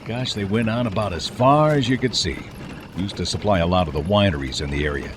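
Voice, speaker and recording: A man acting in an audio drama as a gruff but folksy farm owner who tells things like a storyteller. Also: low-pitched, fairly quick, background noise, natural voice. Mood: relaxed, reflective.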